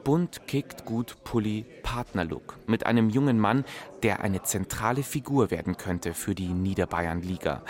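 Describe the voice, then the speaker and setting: A man narrating a radio documentary in a measured, clear storytelling manner. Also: lowish voice, a little mellow. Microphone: close studio mic.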